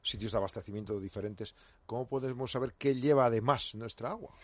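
A man's voice: mid-range voice, no echo. A man hosting a radio show, chatting casually and joking with his guests from the studio. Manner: chatty, joking, quick back-and-forth. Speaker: radio host. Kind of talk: casual radio chat and interviewing.

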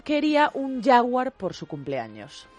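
A woman's voice: on the high side, huge pitch swings, unhurried, a little mellow, faint music behind. A woman co-hosting a radio show, playful and teasing in banter and reading out headlines. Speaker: radio co-host.

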